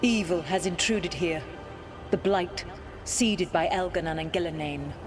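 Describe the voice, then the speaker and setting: Female actor as proud age-old goddess, game drama. Measured, formal, commanding; slightly low register. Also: over music, close to an everyday voice. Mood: solemn, accepting. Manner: formal, measured, imperious speech. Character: ancient proud elven goddess, stern, commanding, testing a petitioner. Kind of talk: dramatic video game acting.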